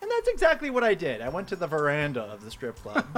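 A man's voice: a little high, wildly swooping pitch, fast, a bit bright. A man co-hosting a comedy podcast, telling casual stories with joking, mock-announcer asides. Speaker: podcast co-host. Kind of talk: comedic casual storytelling and banter.

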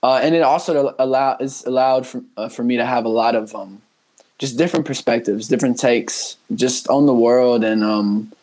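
This is a young man in his twenties, raised in Honduras, who talks as a guest on a podcast. He speaks in a loose, earnest way and keeps restarting his sentences with "um" and "like". His voice sits at an everyday middle pitch for a man. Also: moderate pace, low-quality recording. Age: 20s. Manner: earnest, relaxed, many fillers and restarts. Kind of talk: casual conversational interview.

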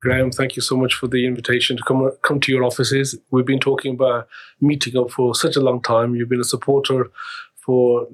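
Flat-voiced podcast host, effusive. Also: male voice, medium pitch, fairly quick. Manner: effusive, repetitive phrasing. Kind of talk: interview host chat.

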